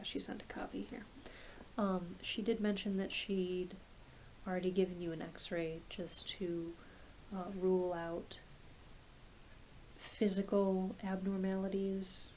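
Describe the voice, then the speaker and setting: A woman playing a gentle, reassuring, slightly scattered doctor in an ASMR medical roleplay, speaking softly and haltingly, often trailing into a near-whisper. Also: mid-range voice, close to an everyday voice, poor audio. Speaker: ASMR performer. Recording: close home recording with clear hiss.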